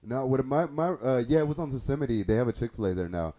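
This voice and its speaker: A man's voice: mid-range voice, animated, fast, mellow. A young man, co-hosting a podcast in casual chat, slangy and hesitant with many restarts. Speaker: podcast co-host.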